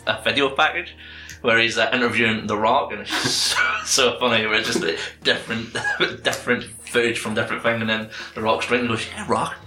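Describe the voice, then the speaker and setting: A man's voice: mid-range voice, fairly even intonation, a little fast, sharp tone, reverberant, soft background music. A man co-hosting a podcast, telling stories in a rambling way and laughing mid-story. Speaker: podcast co-host. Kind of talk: casual chat.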